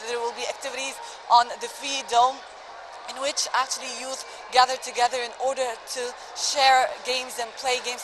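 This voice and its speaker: Woman doing a live news report outside, fluent, lots of noise behind her. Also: on the high side. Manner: fluent, announcing. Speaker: field reporter. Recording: noisy outdoor live feed.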